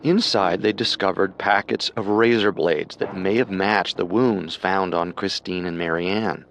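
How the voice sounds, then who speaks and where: Male true-crime co-host. Low pitch, measured dramatic scripted read, soft music underneath. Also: steady tone, rough recording. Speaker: co-host narrator.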